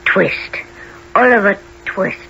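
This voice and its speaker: A man's voice: high voice, takes it slowly, creaky, bright, forceful tone, slightly rough, exaggerated voice. A male performer playing a frail, timid, polite orphan boy in a radio drama, hesitant in speech. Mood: weak, polite. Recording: dull lo-fi radio recording, faint noise.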